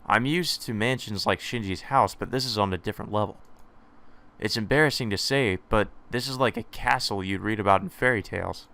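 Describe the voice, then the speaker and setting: A man hosting a let's play, reading a visual novel's first-person text aloud in a measured, slightly hesitant way. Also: on the low side, vocal fry, pushed and bright.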